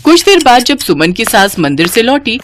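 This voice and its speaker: A woman's voice: on the high side, big pitch swings, moderate pace, slight background noise. A woman reading a scripted family story as its narrator.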